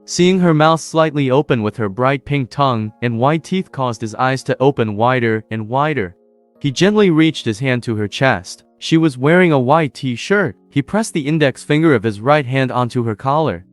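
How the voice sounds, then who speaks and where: A man reads a dramatic story in an even, steady voice-over. The sound is dry and close, and soft music plays underneath. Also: mid-range voice, moderate pace, dry recording. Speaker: narrator. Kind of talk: scripted story narration.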